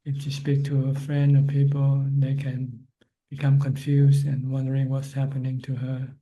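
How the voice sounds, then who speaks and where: Calm dark-voiced monk on a video call. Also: male voice, medium pitch, muffled recording. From Thailand. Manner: calm, plain, unhurried advice. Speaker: Buddhist monk answering questions. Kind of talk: spiritual advice on a video call.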